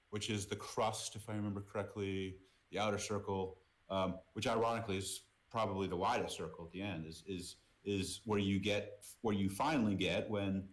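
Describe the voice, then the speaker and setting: A middle-aged man from Boston with an American accent, talking casually as an interview guest, repeating words and often saying "you know". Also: sits low. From Boston, United States. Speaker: podcast guest, merchandise business executive.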